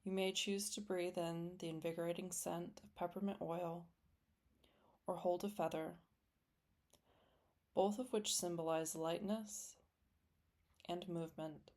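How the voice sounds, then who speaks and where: Low-pitched woman guiding a slow meditation. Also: fairly even intonation. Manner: gentle, soothing, deliberate with long pauses. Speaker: meditation guide. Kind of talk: guided meditation, scripted and slow.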